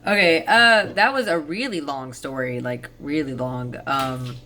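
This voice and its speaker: A woman storyteller who reads fairy tales and comments on them in an informal, rambling, expressive way. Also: low voice, a little slow, slight background noise. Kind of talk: storybook narration with casual chatty commentary. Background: soft ambient background music.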